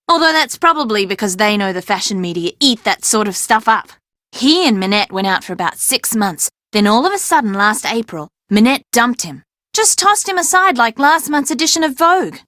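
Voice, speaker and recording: A chatty young woman for a video game, a playful fibber with sass and brains. Her voice sits fairly high and swings widely in pitch with emphatic drama. The sound is very dry, with no room around it. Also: expressive, brisk, a bit bright, dry recording. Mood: gossipy, smug. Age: young adult. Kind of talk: video game character dialogue.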